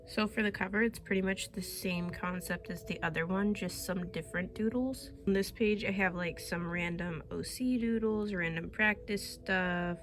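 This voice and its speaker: A woman's voice: mid-range voice, normal speed, few pauses, faint music behind. A young woman artist chatting casually and rambling, laughing often, getting over a cold. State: recovering from a cold. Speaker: artist vlogger. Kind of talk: casual chat.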